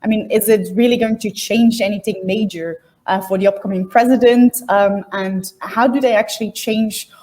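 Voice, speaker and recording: A woman interviewer asks polite, slightly tentative questions, with a few fillers along the way. Her voice has a mellow, warm tone at a middle pitch. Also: fairly even intonation, moderate pace, hardly any pauses.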